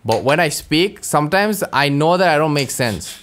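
A man's voice: fairly high pitch, lively intonation, normal speed, a bit bright, a little hiss or hum. Man who stammers, chatting on a podcast. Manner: stammers, restarts often, searches for words. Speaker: podcast co-host. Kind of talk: casual chat.

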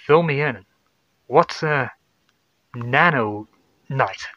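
A man playing a tense, hot-headed spaceship crewman, snappy and forceful, in a sci-fi horror audio story. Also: mid-range voice, extremely slow, no room sound, lo-fi sound. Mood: impatient.

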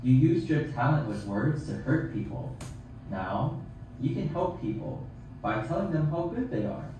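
A man voicing a kind, fatherly Jesus puppet in a children's puppet show, gentle, encouraging and deliberate. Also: on the high side, animated. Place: theatre, behind puppet stage curtain. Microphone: far camera. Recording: very echoey hall from far off, noisy.

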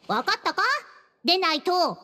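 A woman's voice: extremely high pitch, lively intonation, moderate pace, noisy background. A woman playing a haughty young female scholar who lectures in old-fashioned elderly phrasing in an anime game. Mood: bossy, scolding.

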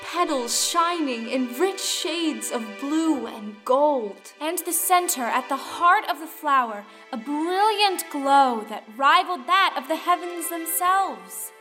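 A woman's voice: sits high, lively intonation, slow pace, few pauses, bright, forceful tone, heavily acted. An actress playing a female storyteller, narrating an old folktale in an audio play. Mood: storytelling. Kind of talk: scripted dramatic folktale narration. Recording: music behind the voice. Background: music.

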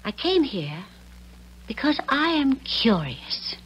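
Poised, theatrical period actress. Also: female voice, high-pitched, big pitch swings, slow pace, fairly bright tone, husky, full character voice, low-quality recording. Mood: poised, probing. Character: bold, romantic, shrewd Confederate-era stage actress. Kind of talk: 1970s radio drama acting. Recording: vintage radio drama with music.

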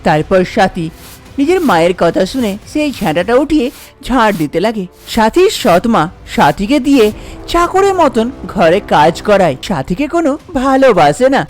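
A woman's voice: mid-range voice, very animated, normal speed, a little mellow, soft background music. A woman narrating a scripted cartoon folk tale as its storyteller.

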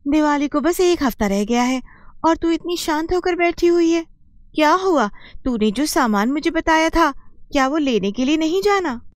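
A woman's voice: sits high, lively intonation, normal speed, no room sound, clean studio sound. A woman playing a boastful, demanding, newly rich housewife in a cartoon, showy and emphatic. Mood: bragging.

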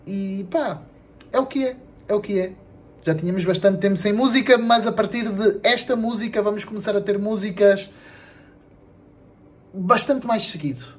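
A young man with a European Portuguese accent making a casual, slangy vlog announcement to camera, blunt and animated, repeating himself for emphasis. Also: high-pitched, normal speed, pauses often, husky. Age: young adult. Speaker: video creator and musician. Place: small room indoors at home.

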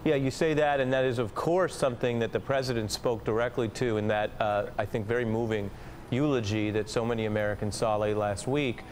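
A man in his thirties anchoring live cable news from a studio, asking measured, formal questions of his guests. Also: mid-range voice, fairly even intonation, slight background noise.

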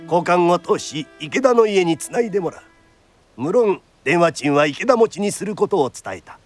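High-pitched, animated male storyteller. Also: normal speed, soft background music. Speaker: narrator. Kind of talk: storytelling narration of a period radio drama.

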